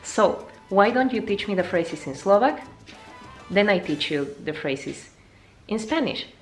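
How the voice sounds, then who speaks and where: A woman voicing a friendly, talkative male taxi driver who chats warmly with his passengers. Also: mid-range voice, sing-song, unhurried, soft background music. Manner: warm, chatty.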